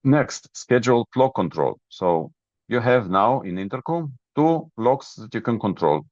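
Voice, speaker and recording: A man who is a non-native English speaker presents a software webinar, explaining each step methodically. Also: mid-range voice, lively intonation, unhurried. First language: not English. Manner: methodical, step-by-step, checks understanding. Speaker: webinar presenter, product trainer. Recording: roomy, dull lo-fi webinar audio.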